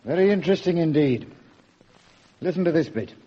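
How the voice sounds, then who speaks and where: Stern sceptical inspector, radio play, husky dark voice, bossy, muffled old recording. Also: male voice, a little high, animated. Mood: intent. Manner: brisk, authoritative, methodical. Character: stern, sceptical male police inspector, short with underlings. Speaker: actor in a radio detective drama. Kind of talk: radio drama acting.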